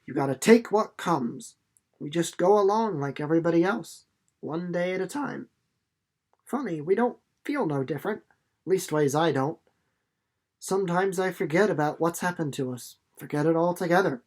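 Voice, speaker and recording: A man's voice: on the high side, lively intonation, moderate pace. A folksy storybook country mother, as read aloud by a man. Her phrasing is rustic and homespun, and her temper is plain, motherly and resigned, with some bustle in it. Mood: resigned, musing.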